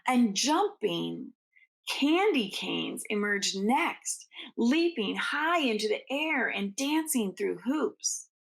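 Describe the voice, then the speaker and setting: A woman's voice: a little high, very animated, slow pace, gravelly, low-quality recording. A woman reading a storybook aloud to children like a schoolteacher, in an informal way. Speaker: teacher reading aloud to students.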